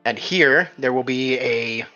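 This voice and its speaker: A man's voice: mid-range voice, takes it slowly, sharp tone, lo-fi sound, faint music behind. A man improvising narration as game master of a tabletop game, thinking aloud and rephrasing as he describes.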